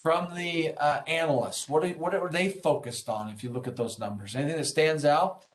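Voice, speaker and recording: A man's voice: on the high side, normal speed. A man presenting an interactive business webinar, explaining things informally and encouragingly and checking in with his listeners as he goes. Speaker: webinar presenter, senior consultant and trainer. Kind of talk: interactive business lesson, explaining earnings call.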